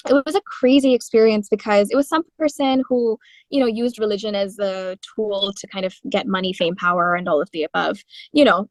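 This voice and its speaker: A young woman in her twenties from India, telling animated stories in an interview as a guest singer-songwriter. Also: medium pitch, dry recording, poor audio. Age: 20s. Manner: animated storytelling with frequent laughs and fillers. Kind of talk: conversational interview.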